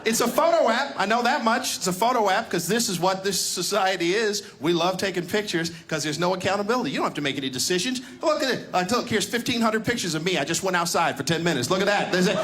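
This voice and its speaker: A man in his fifties doing a stand-up comedy set on a club stage, rambling and repeating himself, getting animated as he acts out stories. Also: high-pitched, quick pace, few pauses, a little hiss or hum. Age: 50s. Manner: rambling, repetitive, animated when acting out stories.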